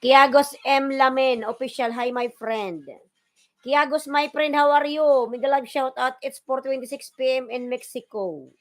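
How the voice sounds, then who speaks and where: High-voiced Filipino woman livestreaming. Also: fairly even intonation, normal speed. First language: Tagalog. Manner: repetitive, calling out names and shout-outs. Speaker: livestream host. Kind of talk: casual live-stream chat, greeting viewers and reading comments.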